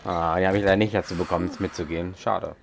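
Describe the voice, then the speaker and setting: A man hosting a casual Let's Play. His voice is low, and he comments offhand on the game with faint noise behind him. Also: fairly even intonation, fast, vocal fry.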